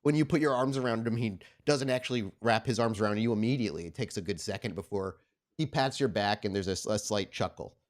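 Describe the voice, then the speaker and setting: A man working as game master, improvising descriptive, expressive narration for a tabletop game. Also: lowish voice, quick pace.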